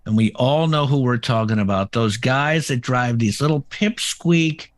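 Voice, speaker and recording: Man, middle-aged, boisterous, loud, casual podcast chat, storyteller. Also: medium pitch, normal speed. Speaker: podcast co-host.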